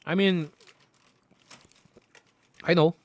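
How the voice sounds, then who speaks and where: Man, halting. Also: fairly high pitch, fairly even intonation. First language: Korean. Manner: halting, repeats words while searching for English. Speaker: co-host reacting to a video. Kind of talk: casual chat.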